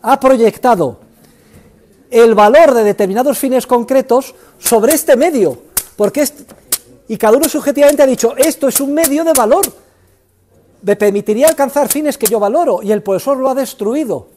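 Older professor, high-pitched, theatrical lecture voice in a big classroom. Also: male voice, animated, normal speed, a little hiss or hum. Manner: theatrical, emphatic, swings from shouting to low asides. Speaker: economics professor. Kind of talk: university lecture. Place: large university classroom.

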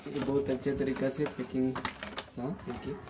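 Young man with a flat, even delivery. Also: mid-range voice, normal speed, very noisy. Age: young adult. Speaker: unboxing video host. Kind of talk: casual product unboxing commentary. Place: just outside a room at home, seated.

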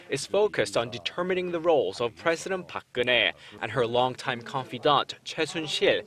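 A man's voice: fairly high pitch, a bit bright. A young man narrating a scripted television news report as a reporter. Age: young adult.

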